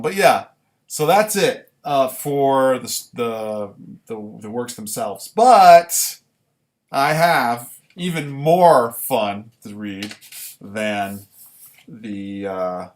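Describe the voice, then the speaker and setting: Male live reader of his own work, medium pitch, unhurried and halting, casual and deliberate. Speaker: writer reading own work; former military recruit. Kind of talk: live reading of own writing with casual commentary.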